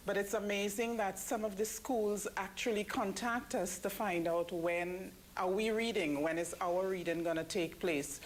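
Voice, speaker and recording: A woman's voice: medium pitch, fairly even intonation, moderate pace, few pauses, poor audio. A woman giving explanatory answers as an interview guest. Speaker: interview guest, church committee member. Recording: clear background noise.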